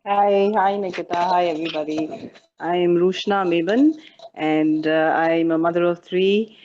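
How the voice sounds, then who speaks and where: A woman from Dubai speaking as a guest on a mothers' panel, halting, with many fillers and restarts. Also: lowish voice, steady tone, a little mellow, muffled recording, a little hiss or hum. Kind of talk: casual panel talk.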